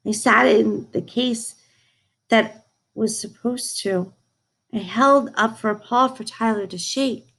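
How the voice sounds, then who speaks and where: A woman reading a storybook aloud to young listeners in an expressive, direct way, as a read-aloud host. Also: on the high side, husky.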